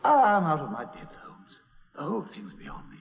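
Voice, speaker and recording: A loyal companion who keeps exclaiming and asking bewildered questions in an old radio play. His fairly high voice swings widely in pitch. The muffled vintage recording sounds roomy, with a long reverb. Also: huge pitch swings, normal speed, vocal fry, heavily acted. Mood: puzzled. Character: loyal, easily astonished male companion. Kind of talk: 1940s radio acting.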